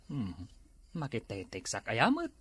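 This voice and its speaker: Evasive, mumbling Ilocano husband. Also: male voice, medium pitch, huge pitch swings, measured pace, fairly bright tone, breathy edge. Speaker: husband accused of cheating. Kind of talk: comedy drama acting.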